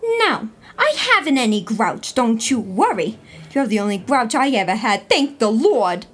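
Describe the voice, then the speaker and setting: A woman's voice: fairly high pitch, sing-song, measured pace, fairly bright tone, full character voice. A woman playing a touchy, jealous newlywed wife in a 1910s New York comedy audio play, talking in a dramatic, slangy way. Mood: indignant, snappy. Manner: dramatic, slangy, easily indignant.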